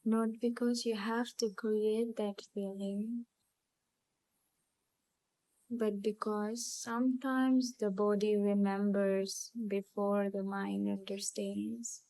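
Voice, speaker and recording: A woman guides a relaxation exercise in a very slow, soothing voice. Her tone is soft-edged and mellow, with little melodic movement. She speaks in short hypnotic phrases and leaves long, calm pauses between them. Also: mid-range voice, dry recording, low-quality recording. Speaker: hypnotherapy channel host and guide. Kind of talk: guided visualisation, gentle hypnotic storytelling.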